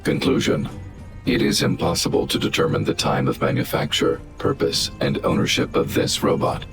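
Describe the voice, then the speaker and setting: A huge guardian robot, with an extremely low, creaky voice over prominent music. The delivery is formal and analytical, stern and protective, as in a video game. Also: male voice. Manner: formal, analytical, measured, stating probabilities. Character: large stern protective guardian robot, male, coldly logical. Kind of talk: video game character dialogue.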